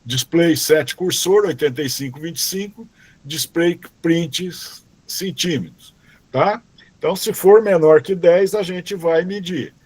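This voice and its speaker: A man walks students through code at an unhurried pace, stopping often to check that they are following. His voice sits a little high for a man. The sound is dry and close, with no room around it. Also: darkish tone, slightly rough, dry recording. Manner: slow, patient explaining with frequent "tá?" and "ok?" checks; restarts and self-corrections while reading code aloud. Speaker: robotics teacher. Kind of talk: live online class.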